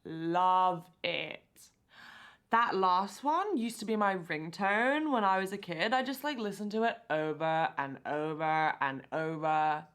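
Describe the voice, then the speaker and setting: Flippant gossipy young woman, lower-pitched. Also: slow pace, fairly bright tone. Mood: breezy, amused. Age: young adult. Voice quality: casual drawl peppered with "like" and "babe". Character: flippant, gossipy, phone-obsessed young woman, breezy and dramatic. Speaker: actor in an interactive murder mystery film.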